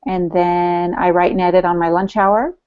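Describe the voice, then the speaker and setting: A woman's voice: lowish voice, fairly even intonation, normal speed, clear, resonant tone. Woman chatting on a dull-sounding podcast. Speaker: podcast co-host. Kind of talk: casual conversational podcast chat.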